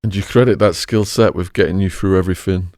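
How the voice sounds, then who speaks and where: A man's voice: sits low, steady tone, quick pace, a little mellow, dry recording, clean studio sound. A man hosting a podcast, asking brief prompting questions. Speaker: podcast host. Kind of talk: interview questions.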